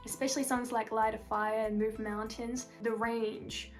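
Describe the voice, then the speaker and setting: A woman's voice: fairly high pitch, little pitch movement, normal speed, faint music behind. A young woman with an Australian accent, a singer answering interview questions in a casual, upbeat, chatty way. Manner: chatty, upbeat, frequent "like" and "kind of".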